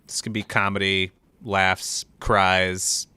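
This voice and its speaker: A man's voice: sits low, flat delivery, takes it slowly, pushed and bright, clean tone, dry recording. A man hosting a live stream, making casual announcements in an upbeat, slangy, informal way. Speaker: stream host announcing upcoming shows.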